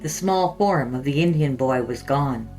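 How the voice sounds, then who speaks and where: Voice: mid-range voice, unhurried, a little mellow, faint music behind, low-quality recording. A narrator and podcast host reading scripted fiction aloud in a storytelling style.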